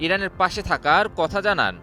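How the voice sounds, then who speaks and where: A man's voice: sits high, expressive, a little fast. A man with a Bangladeshi accent reading scripted news narration as a reporter. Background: music bed under the voice-over.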